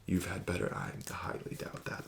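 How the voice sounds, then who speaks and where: A man doing an ASMR roleplay as a casino card dealer, talking slowly and softly with smug, teasing patter that slips into whispers. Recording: audible background noise.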